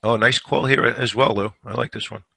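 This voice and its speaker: A man hosting a stock trading show, giving live market commentary in a conversational way, a little hoarse today and repeating words as he thinks. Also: medium pitch, steady tone, normal speed, sharp tone, slight background noise. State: slightly hoarse.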